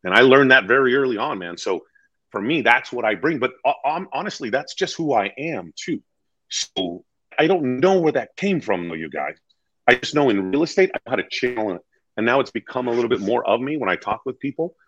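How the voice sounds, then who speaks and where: Male interview guest: deep, earnest, emphatic storyteller with wide swings in pitch, recorded in a dull, lo-fi sound. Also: lively intonation, fairly quick, a bit bright, slightly rough, no room sound. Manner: earnest, emphatic, acts out quoted dialogue. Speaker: real estate agent being interviewed as a guest.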